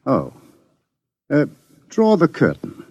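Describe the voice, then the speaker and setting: An actor playing a wry, fatalistic older scientist in a 1950s radio drama, explaining things with some hesitation. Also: male voice, a little high, huge pitch swings, takes it slowly, darkish tone, breathy edge, no room sound, highs cut off, exaggerated voice. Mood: wry, grave. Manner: wry, expository, hesitant in places.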